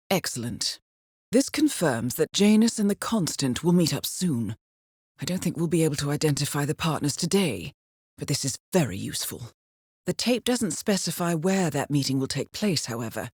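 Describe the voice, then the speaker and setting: Composed female mission handler, low voice, lively intonation, dry wit, formal game briefing, close dry sound. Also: breathy edge, no echo, full character voice. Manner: measured, formal, dryly understated. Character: composed, dry-witted female intelligence handler guiding an assassin. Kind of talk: scripted video game voice acting, mission briefing.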